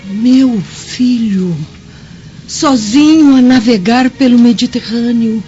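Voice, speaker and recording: A woman's voice: on the high side, slow, mellow, muffled recording, faint music behind. An actress playing an anxious Roman matron, a worried mother, in a plaintive radio drama delivery.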